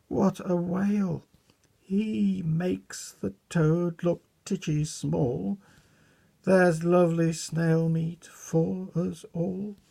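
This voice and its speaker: Storybook mob of greedy cooks, excited and eager, husky and dark, voiced by the reader. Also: mid-range voice, full character voice.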